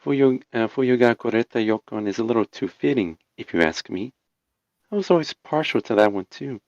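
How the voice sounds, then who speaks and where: Muffled lo-fi mic, guy doing a calm teasing young anime girl, knowing, story reading. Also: mid-range voice, normal speed, no echo, lightly acted. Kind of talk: dramatic fan fiction reading. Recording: dull, lo-fi microphone sound.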